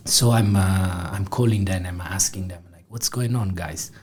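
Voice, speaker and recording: A man's voice: sits low, normal speed, a little mellow, slightly rough. A man in his forties from southern Italy with a Neapolitan Italian accent, a film director speaking as a studio guest with many fillers and restarts. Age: 40s. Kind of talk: interview guest.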